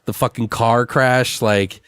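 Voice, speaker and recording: A man hosting a podcast, chatting casually and brainstorming in a rambling, animated storytelling way with plenty of swearing. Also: mid-range voice, slow, smooth, dry recording. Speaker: podcast host. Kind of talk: casual improvised brainstorming chat.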